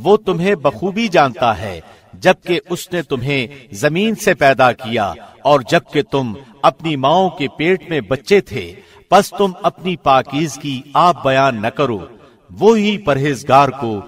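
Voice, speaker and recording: A man reading a scripture translation from a script, in a formal, measured radio presenter's delivery. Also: a little high, very animated, breathy edge.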